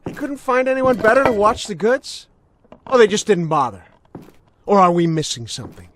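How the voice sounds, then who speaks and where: A man's voice: high-pitched, expressive, moderate pace, slight background noise. Menacing, volatile policeman. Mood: mocking, amused. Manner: mocking, theatrical, swinging from soft to shouting. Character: menacing, unhinged veteran policeman bullying an old suspect. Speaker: voice actor in a video game. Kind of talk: dramatic video game cutscene acting.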